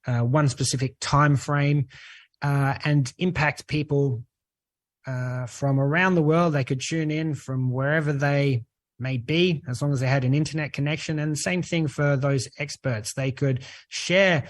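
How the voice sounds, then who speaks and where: A man with an Australian accent, a guest on a talk show, speaking earnestly and relaxed, with many "uh" fillers. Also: fairly high pitch, measured pace, dry recording. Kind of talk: podcast interview.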